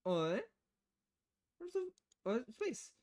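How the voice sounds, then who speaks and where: Woman streamer, swooping expressive pitch. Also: drawn-out words. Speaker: game streamer reading visual novel dialogue aloud. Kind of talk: casual live-stream reading of game text.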